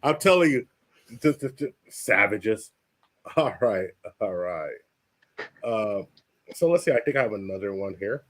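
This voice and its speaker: A man's voice: lowish voice, very animated, unhurried, long pauses, fairly bright tone. An older man interviewing a guest on a casual podcast, rambling and stammering through his questions with a joking manner.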